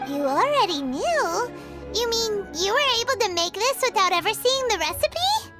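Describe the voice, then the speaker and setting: A woman voicing a tiny, excitable, cheeky fairy companion in a video game, squeaky and childlike, with exclamatory delivery. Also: moderate pace. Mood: puzzled, curious. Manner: exclamatory, exaggerated, talks of self in third person. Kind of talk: English video game voice acting. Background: music.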